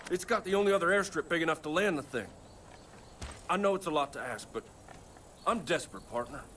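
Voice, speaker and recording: An actor playing a foul-mouthed, excitable rural pilot and husband with an American accent in a video game cutscene. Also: male voice, on the high side, a little fast, heavy background noise, natural voice. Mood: desperate, earnest. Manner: folksy, exclamatory, swearing.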